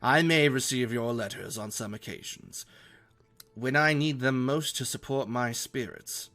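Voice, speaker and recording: A man reading a classic novel aloud as an earnest, romantic gentleman explorer, in formal literary phrasing. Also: mid-range voice, unhurried. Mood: yearning, resolute. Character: earnest, romantic young gentleman explorer writing letters home.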